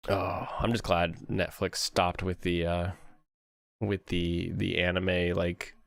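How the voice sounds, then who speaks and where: Deep-voiced man in casual, rambling chat. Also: little pitch movement, takes it slowly, gravelly, no echo. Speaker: host of an anime review stream.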